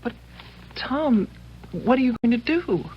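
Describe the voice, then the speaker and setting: Anxious young sweetheart, muffled old radio. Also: female voice, fairly high pitch, expressive, measured pace. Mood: anxious, fond. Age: young adult. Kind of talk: 1970s radio drama acting. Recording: dull, faintly noisy vintage radio.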